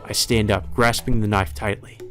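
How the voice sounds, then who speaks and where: A man's voice: on the low side, fairly even intonation, normal speed, fairly bright tone. A man reading a visual novel aloud as dramatic first-person narration. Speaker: let's play reader. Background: music.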